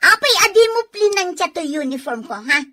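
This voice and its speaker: Bossy teenage sister, very high sharp voice, Ilocano Filipino accent, radio melodrama bully. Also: female voice, very animated, fairly quick, heavily acted. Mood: angry, bullying. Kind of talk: radio drama acting.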